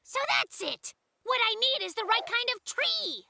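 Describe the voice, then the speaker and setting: An actor voicing an excitable, boastful little polar bear cub who fancies himself a ninja, in a theatrical children's cartoon style. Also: very high-pitched, unhurried, creaky, noisy background, exaggerated voice. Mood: eager.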